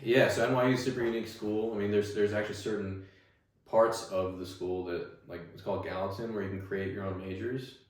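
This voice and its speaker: A man's voice: lowish voice, a little fast. Rambling young man, echoey distant mic. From Staten Island, New York. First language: Russian. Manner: relaxed, rambling with many fillers and restarts. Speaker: guest. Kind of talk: casual interview chat.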